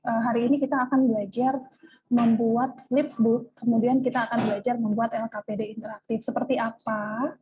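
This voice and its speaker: Indonesian lady trainer walking people through a screen share, highish voice, muffled lo-fi call sound. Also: level tone, a little fast, noisy background. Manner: repeatedly checks with listeners using "ya". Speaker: online workshop trainer. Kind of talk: informal screen-share tutorial on a video call. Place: online video call. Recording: dull lo-fi video-call audio.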